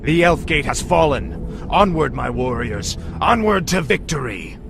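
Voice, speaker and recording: An actor playing a cold, haughty and menacing fallen prince turned undead death knight in a fantasy video game. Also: male voice, fairly high pitch, expressive, measured pace, gravelly, over music. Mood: triumphant.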